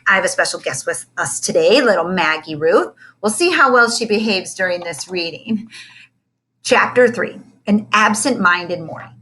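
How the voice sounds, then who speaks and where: A woman reading a children's book aloud in an expressive way, acting out the characters. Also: lowish voice, moderate pace, rough recording. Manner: expressive, acts out each character's dialogue. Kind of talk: animated storybook read-aloud.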